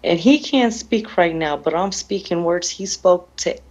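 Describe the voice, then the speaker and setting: A woman's voice: fairly low pitch, a little slow, darkish tone, lo-fi sound. A grieving woman answering interview questions in an earnest, emotional, plain-spoken way, often repeating her phrases. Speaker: bereaved mother being interviewed.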